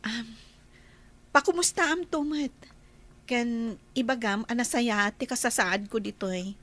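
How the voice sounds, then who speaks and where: Slow, gentle young woman, acted out. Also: on the high side. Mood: friendly, chatty. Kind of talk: radio drama acting.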